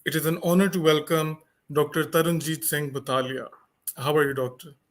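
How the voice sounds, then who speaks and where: A man's voice: a little high, steady tone, fairly quick. A man hosting an online series, welcoming guests formally with the occasional stammer. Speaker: host. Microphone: video call.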